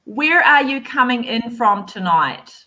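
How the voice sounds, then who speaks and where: A woman's voice: fairly high pitch, expressive, unhurried, bright, forceful tone. A woman hosting a live online chat over a video call, upbeat and encouraging. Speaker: host.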